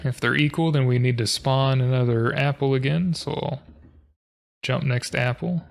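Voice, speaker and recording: A man hosting a coding tutorial, talking through his code in a low-key, monotone way, thinking aloud with fillers. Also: normal speed, gravelly. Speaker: programming tutorial host. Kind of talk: casual screen-recorded coding walkthrough.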